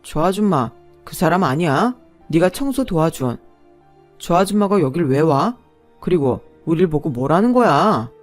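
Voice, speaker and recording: A woman's voice: deep voice, very animated, measured pace, soft background music. A woman voicing a spineless young man who whines and gets defensive. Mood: confused. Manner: whiny and defensive. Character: spineless young man, mama's boy fiancé.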